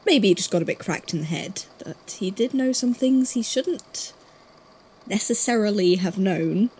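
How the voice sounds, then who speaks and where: A woman playing a guarded, thoughtful investigator in an audio drama, speaking haltingly. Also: mid-range voice, lively intonation, a little mellow, slightly rough, low-quality recording. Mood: hesitant, thoughtful. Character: guarded, puzzled investigator from a supernatural archive.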